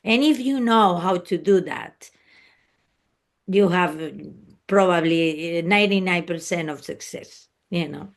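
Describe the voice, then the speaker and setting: A woman's voice: lowish voice, unhurried. Spanish-accented woman explaining things in conversation. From Spain. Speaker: interview guest, luxury service professional. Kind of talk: conversational interview answers.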